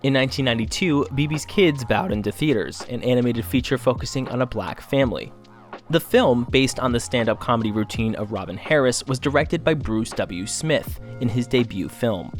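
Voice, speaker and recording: A man reading scripted documentary narration in a measured, formal, informative manner. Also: mid-range voice. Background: faint music.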